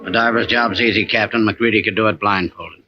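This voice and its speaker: A man's voice: lowish voice, fairly even intonation, brisk, close to an everyday voice. An actor playing a loyal, matter-of-fact ship's crewman in an old-time radio drama. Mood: grim, matter-of-fact. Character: subdued, loyal male ship's crewman advising his captain. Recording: dull vintage radio recording.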